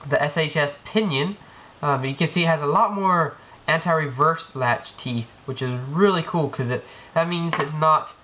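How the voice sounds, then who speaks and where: A man's voice: fairly high pitch, moderate pace, sharp tone. Man with a cold explaining gear work. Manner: rambling, many ums and restarts. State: has a cold. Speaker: airsoft gearbox tech demonstrator. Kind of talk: casual hands-on tutorial narration. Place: indoor room, work on floor. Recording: noisy home video with clicks.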